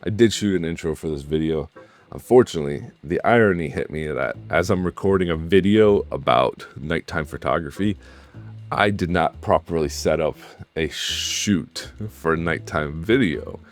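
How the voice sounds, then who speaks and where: A man with a very deep voice that sounds crackly and worn after a late night. He talks straight to his viewers from his editing desk in a chatty, apologetic way, with faint music underneath. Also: normal speed. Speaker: vlogger host. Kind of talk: casual direct-to-camera talk.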